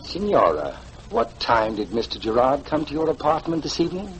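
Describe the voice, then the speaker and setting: An actor playing a formal, officious police inspector in a 1940s radio drama, speaking deliberately. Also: male voice, mid-range voice, very animated, lightly acted, rough recording. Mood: probing. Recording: 1940s radio recording, faint music.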